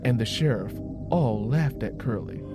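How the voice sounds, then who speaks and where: Male story narrator over clear music. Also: medium pitch, moderate pace. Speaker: author and narrator. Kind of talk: dramatic scripted story narration.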